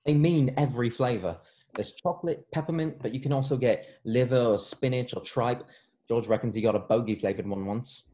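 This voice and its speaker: A frank young wizard boy, read by a grown man in a script table read. The voice is soft-edged and mellow at a middling pitch, casual and matter-of-fact. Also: brisk, lightly acted. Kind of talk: online table read of a screenplay.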